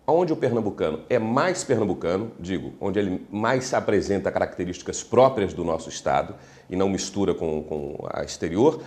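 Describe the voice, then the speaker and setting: A male talk-show host with a low voice. He frames his questions in a formal, measured way. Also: expressive, a little mellow. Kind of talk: interview questions.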